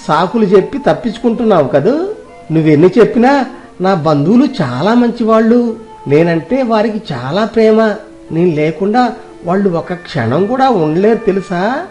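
A man's voice: high-pitched, darkish tone. A man playing a proud, naive householder in a moral story, challenging and indignant in manner. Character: proud, naive householder devoted to his relatives. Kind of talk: Telugu moral story dramatization.

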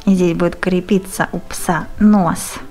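A woman's voice: lowish voice, steady tone, takes it slowly, darkish tone, faint music behind. A woman giving step-by-step craft instructions in short phrases, full of fond diminutives. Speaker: craft tutorial presenter.

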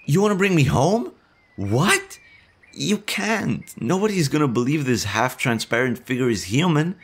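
A man voicing a timid young male slime monster in an audio roleplay, nervous and stammering, quick to worry. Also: fairly high pitch, big pitch swings, breathy edge, exaggerated voice. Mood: panicked. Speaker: voice actor.

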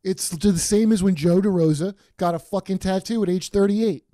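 A man hosting a comedy podcast, wry and quick with crude jokes, cueing clips, on a studio mic over a video call. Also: sits high, warm tone. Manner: wry, cuts in to cue clips. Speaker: podcast host.

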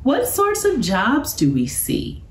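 A woman voicing a friendly, eager male bear professor from a storybook, with drawn-out questioning delivery. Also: a little high, wildly swooping pitch, pitched above the performer's own voice, heavily acted. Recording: roomy, echoing indoor sound.

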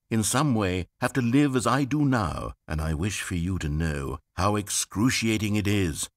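Grand brooding game narrator, big swoopy delivery, slow and theatrical. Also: male voice, lowish voice. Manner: measured, dramatic and theatrical.

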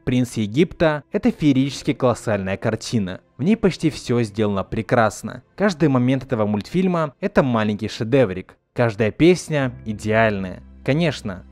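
A man delivers a scripted video essay with a lively, swinging intonation. His pitch sits in the middle of the male range. He sounds earnest and opinionated, with music playing faintly behind him. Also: a little fast, hardly any pauses. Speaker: video essayist reviewing an animated film. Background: background music.

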